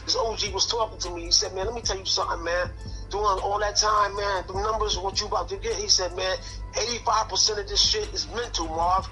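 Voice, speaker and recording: A man's voice: high voice, steady tone, fairly quick, sharp tone, faint music behind, poor audio. Streetwise man in his late fifties. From Connecticut. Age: 50s. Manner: streetwise, fillers "man" and "son" constantly. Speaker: interview guest. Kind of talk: casual prison storytelling.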